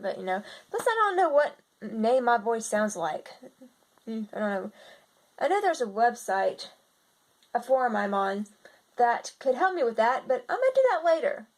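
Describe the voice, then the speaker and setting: A young woman with a slightly raspy voice, chatting in a casual video diary and rambling with lots of fillers and restarts. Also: fairly high pitch. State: slightly raspy from seasonal congestion. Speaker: vlogger.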